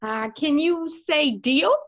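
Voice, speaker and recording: A woman's voice: high-pitched, expressive, slow pace, fairly bright tone, exaggerated voice. A woman playing an easygoing, teasing male babysitter in a comedic radio play. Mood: playful. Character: easygoing, joking man babysitting a baby.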